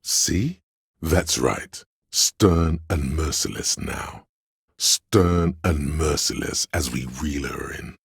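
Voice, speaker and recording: Game casting: a grandiose, scheming courtly inner voice; extremely low, husky, declamatory, archaic. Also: male voice, expressive, slow, dry recording, studio quality, heavily acted. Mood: suspicious, stern. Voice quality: gravelly, husky. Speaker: voice actor. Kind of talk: theatrical video game voice lines.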